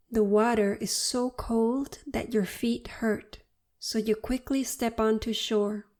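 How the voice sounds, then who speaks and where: Slow, mellow woman guiding a meditation. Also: on the high side. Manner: soothing, unhurried, deliberate phrasing. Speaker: meditation guide. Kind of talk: guided meditation narration.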